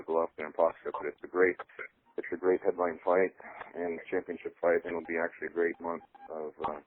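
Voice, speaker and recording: Man, very low voice, flat intonation, halting measured delivery, thin telephone sound. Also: a little hiss or hum. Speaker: boxing promoter. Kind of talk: unscripted promotional statement on a conference call. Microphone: telephone conference call. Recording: dry, narrow telephone line.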